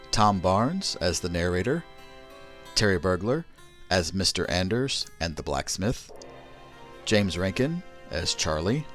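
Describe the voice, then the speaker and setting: A male old-fashioned radio announcer with a low voice. His theatrical delivery rises and falls dramatically, with faint music behind him. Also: fairly bright tone.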